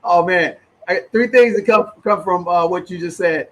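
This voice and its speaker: A man's voice: high-pitched, moderate pace, a bit bright. A man hosting a conversational interview in an effusive way, peppering his talk with "man" and "brother". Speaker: interview host.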